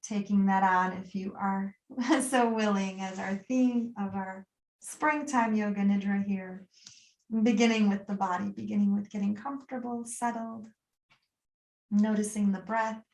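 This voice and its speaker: A woman leading a guided meditation as a yoga nidra teacher, speaking soothingly and gently in short, unscripted phrases with pauses between them. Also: medium pitch, steady tone, reverberant, far from the mic, poor audio.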